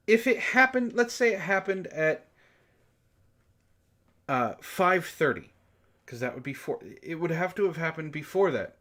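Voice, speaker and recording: A man with a fairly high, very animated voice reads fiction aloud as a book-club host. He keeps stepping out of the text with exasperated, incredulous comments. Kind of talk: reading fan fiction aloud with mocking commentary.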